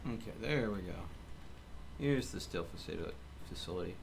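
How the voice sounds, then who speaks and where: A man's voice: low-pitched, animated, normal speed. A man doing casual live gameplay commentary as a streamer, thinking aloud and muttering to himself. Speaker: game streamer. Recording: clear background noise.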